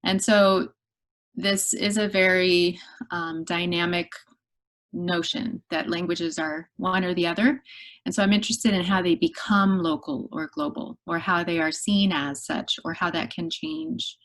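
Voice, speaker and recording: Middle-aged woman, academic lecturer on a video call; thoughtful, measured delivery with filler pauses. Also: lowish voice, a bit bright. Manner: thoughtful, measured, with frequent ums. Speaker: guest lecturer.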